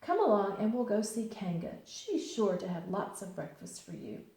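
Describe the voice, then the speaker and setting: A woman doing a gentle, earnest young bear. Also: medium pitch, big pitch swings, moderate pace, gravelly. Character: gentle, simple-minded young male bear. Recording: very echoey room.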